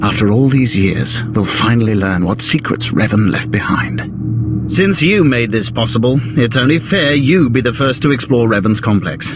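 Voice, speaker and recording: An actor playing a formal, grave military general briefing a mission in a video game. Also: male voice, medium pitch, expressive, normal speed, background noise, close to an everyday voice. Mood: pleased, commanding. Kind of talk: video game cutscene acting.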